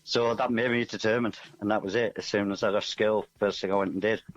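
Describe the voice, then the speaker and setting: A man with a fairly low voice chats casually as an interview guest. He is modest and self-effacing, and the sound is a little dull and lo-fi, as from a remote link. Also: steady tone, a little fast. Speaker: musician guest, singer-songwriter.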